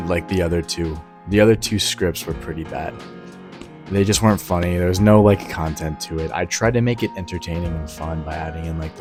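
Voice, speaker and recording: A man with a low voice hosts in a loose, chatty style. He is irreverent and self-deprecating, and music plays clearly behind him. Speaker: host. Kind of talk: casual self-mocking commentary.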